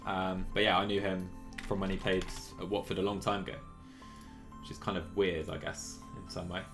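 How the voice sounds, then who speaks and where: A man's voice: low voice, little pitch movement, normal speed. A man live-streaming a game, chatting casually and a little apologetically as he thinks aloud. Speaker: live streamer playing a cycling management game. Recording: music playing behind the voice. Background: background music.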